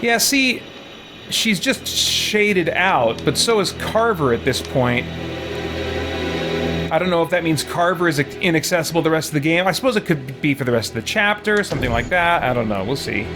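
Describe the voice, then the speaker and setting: A man giving casual live gameplay commentary, muttering and thinking out loud as he plays. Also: on the high side, animated, normal speed, over loud music. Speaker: let's-play streamer.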